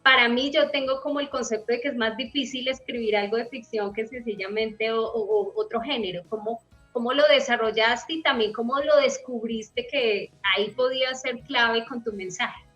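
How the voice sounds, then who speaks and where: A woman's voice: fairly high pitch, fairly even intonation, normal speed, fairly bright tone, faint music behind. A woman interviewing a guest, asking friendly, slightly hesitant questions. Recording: dull, muffled sound.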